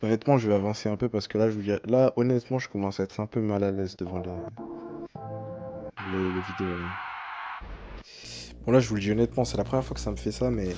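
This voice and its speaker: A man's voice: on the low side, fairly even intonation, fast talker, pauses often, noisy background. A man giving casual reaction commentary as a streamer, hesitant and often repeating his words. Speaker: streamer reacting to horror videos.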